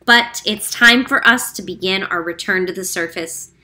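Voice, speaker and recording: Woman, classroom read-aloud narrator, bright and forward voice, patient explanatory delivery, close mic. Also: mid-range voice. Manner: clear, explanatory, slows down on key facts. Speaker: teacher reading a picture book aloud.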